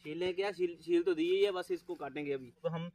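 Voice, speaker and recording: A man's voice: a little high, brisk, a little mellow. A young man from Himachal Pradesh, chatting casually to his viewers while camping in a grassy field. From Himachal Pradesh, India. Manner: chatty, addresses viewers as friends. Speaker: vlogger. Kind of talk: casual vlog talk to viewers. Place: outdoors in a grassy wooded field. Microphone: camera held at arm's length.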